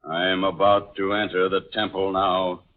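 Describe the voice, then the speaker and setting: An actor playing a menacing ancient spirit emperor in a borrowed body, imperious and portentous, in old-time radio drama style. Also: male voice, sits low, little pitch movement, natural voice. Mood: cold, commanding. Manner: imperious, slow, portentous declamation. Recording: muffled, narrow-band vintage radio recording.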